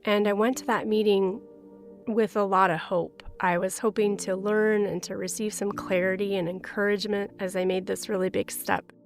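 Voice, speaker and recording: A woman's voice: medium pitch, little pitch movement, moderate pace, faint music behind. Reflective woman telling her story. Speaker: religious sister. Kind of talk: personal testimony narration.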